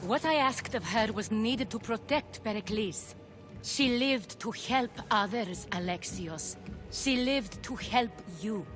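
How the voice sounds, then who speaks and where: A composed Athenian schemer. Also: female voice, fairly high pitch, slow, over music. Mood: firm. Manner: calm, firm, defensive.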